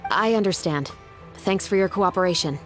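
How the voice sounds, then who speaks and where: A woman plays a young female captain of the guard in a video game. Her voice sits a little low, measured and formal, businesslike in every question. Faint music plays behind. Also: natural voice. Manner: measured, formal, matter-of-fact.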